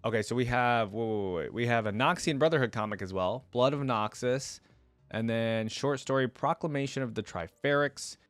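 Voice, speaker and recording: A man's voice: medium pitch, moderate pace, a bit bright, no room sound. A man hosting a reaction video, reading game lore aloud and dropping in informal, casual asides. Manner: informal asides between read passages.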